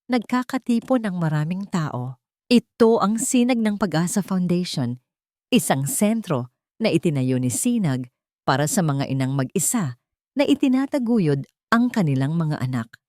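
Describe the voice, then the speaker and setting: Woman, story narrator, calm and steady, earnest, fairly low voice, dry close sound. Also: dry recording. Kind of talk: scripted first-person storytelling.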